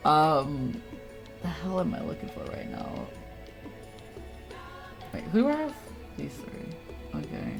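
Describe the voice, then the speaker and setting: A woman's voice: low voice, wildly swooping pitch, slow pace, vocal fry, pauses often. A woman live streaming a video game, chatting casually and playfully with viewers in informal, slangy language with some swearing. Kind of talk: casual gaming livestream chat with viewers. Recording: game music behind the voice. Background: game music and sounds.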